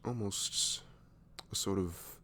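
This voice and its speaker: A man playing a goofy young best friend in an ASMR roleplay, halting and mock-professional. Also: low-pitched, bright, forceful tone, slight background noise, full character voice. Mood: awkward, mock-formal.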